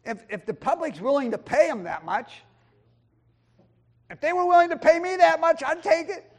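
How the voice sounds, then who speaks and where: A man preaching a sermon, quoting scripture and swinging between loud emphatic lines and quiet low asides. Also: normal speed. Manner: quotes scripture and acts out voices of others in the talk. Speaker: pastor.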